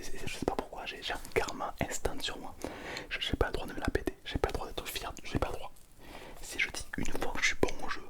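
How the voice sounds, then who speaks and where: Whispering gamer, casual play-by-play. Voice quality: whispered, breathy. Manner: playful mock bragging, repeats phrases. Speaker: gaming video host. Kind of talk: casual gameplay commentary.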